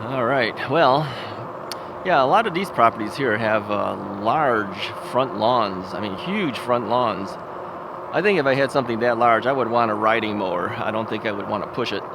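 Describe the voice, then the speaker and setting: A man with a gravelly, creaky voice chats as he rides an ebike outdoors. He rambles with easy chuckles, talking into a bike-mounted camera over clear traffic and wind noise. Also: mid-range voice, animated, normal speed. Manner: rambling, chuckling, self-questioning. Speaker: vlogger. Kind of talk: casual thinking aloud while riding. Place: outdoors on suburban streets, riding an ebike.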